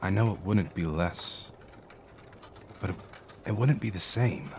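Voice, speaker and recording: A man playing a solemn, reflective mortal in a dark fantasy audio drama, speaking in an earnest, measured way. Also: low voice, slight background noise. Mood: solemn, tender.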